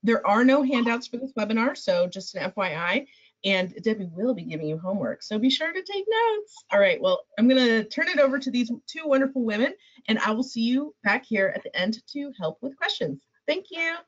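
Brisk woman moderator, muffled call. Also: on the high side, sing-song. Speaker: webinar moderator. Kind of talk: webinar announcements.

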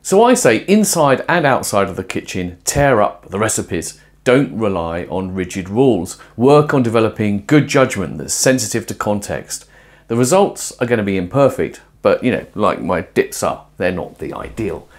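A man in his forties talking to camera while cooking in a small home kitchen, conversational and musing, with lively emphasis. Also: lowish voice, moderate pace. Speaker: presenter of a cooking and philosophy video. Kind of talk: casual explanatory talk to camera while cooking. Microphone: lapel mic.

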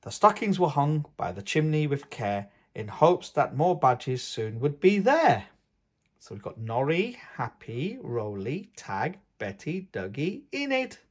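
A man reading a picture book aloud for young children, with expressive, singsong storytelling. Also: a little high, unhurried. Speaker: host of a children's read-aloud channel.

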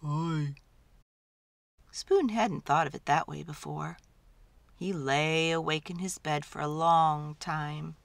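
A woman's voice: sits low, unhurried, gravelly, no room sound. A woman storyteller reading a picture book aloud as dramatic narration for children.

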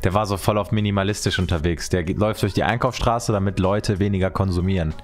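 A man's voice: low-pitched, fairly even intonation, fast talker. A man in his twenties giving casual, colloquial reaction commentary as a YouTuber, close on a desk microphone. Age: 20s. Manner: colloquial, chatty. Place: small room at a desk at home.

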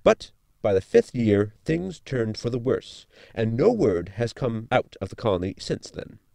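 An actor playing an articulate, bookish science officer in a sci-fi comedy audio drama, speaking formally and precisely. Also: male voice, mid-range voice, big pitch swings, a little slow, gravelly, warm tone, no room sound, studio quality. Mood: reading aloud. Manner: formal, precise, long-winded.

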